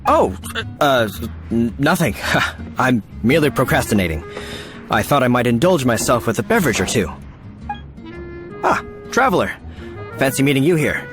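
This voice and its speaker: An actor playing a nervous, earnest knight in a video game, stammering yet formal and polite, with music behind him. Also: male voice, mid-range voice, big pitch swings, moderate pace, long pauses, fairly bright tone. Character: flustered, well-mannered male knight, nervous and earnest. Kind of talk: video game character acting.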